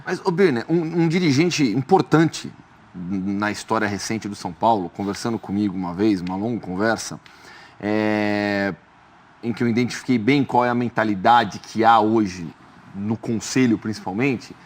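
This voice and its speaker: A man, hosting a studio football debate and asking measured questions. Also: fairly low pitch. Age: 30s. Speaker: sports journalist host.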